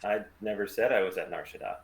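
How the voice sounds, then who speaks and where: Man playing a low-voiced, cynical smuggler captain. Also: quick pace, vocal fry. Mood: guarded, defiant. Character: gruff, cynical male smuggler starship captain. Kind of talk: script table read.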